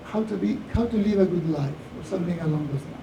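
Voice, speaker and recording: A man in his fifties with an Argentinian accent and Spanish as his first language, speaking haltingly and reflectively as he answers questions at a live event. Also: high voice, gravelly. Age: 50s. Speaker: tech founder, guest speaker. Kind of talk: live Q&A, anecdotal talk. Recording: distant echoey hall, faint noise.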